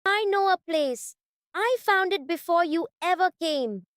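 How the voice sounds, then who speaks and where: Very high, slow little ratkin boy. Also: no room sound, clean studio sound, exaggerated voice. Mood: eager, earnest. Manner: excited, insistent, trembling with joy. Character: small eager ratkin child, a boy, bursting with a secret.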